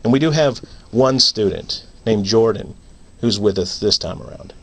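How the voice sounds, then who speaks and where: A man's voice: lowish voice, lively intonation, normal speed, vocal fry. A man talking as a guest playwright in a casual radio interview.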